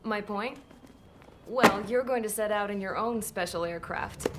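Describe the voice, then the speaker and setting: A woman's voice: mid-range voice, measured pace, lightly acted. An actress playing a cocky, resourceful young prisoner, speaking casually and wryly in a game cutscene, with noise behind her. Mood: cool, sly. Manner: casual, slangy, wry. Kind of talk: video game cutscene narration and dialogue.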